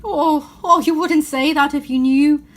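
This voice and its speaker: A mournful storybook faun, a guilt-ridden male creature, acted out by a woman. He laments and reproaches himself in a fairly high voice. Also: fairly even intonation, unhurried. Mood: sobbing, ashamed. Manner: sobbing, self-reproaching lament.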